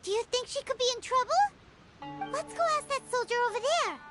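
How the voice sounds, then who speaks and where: A woman voicing a tiny, childlike, excitable fairy companion in a video game, chatty and given to thinking aloud. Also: extremely high pitch, a little fast, loud music behind the voice. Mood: puzzled. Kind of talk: animated video game voice acting.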